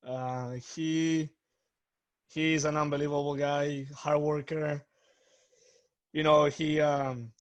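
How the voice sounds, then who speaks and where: Man from Colombia, slightly high pitch, earnest and heartfelt interview answers. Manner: earnest, grateful. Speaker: soccer coach.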